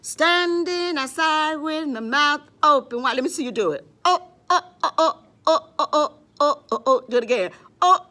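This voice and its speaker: A woman's voice: high voice, expressive, normal speed, hardly any pauses, bright, forceful tone. A woman hosting a children's storytime, playful and encouraging, speaking simply to little kids and often repeating short phrases.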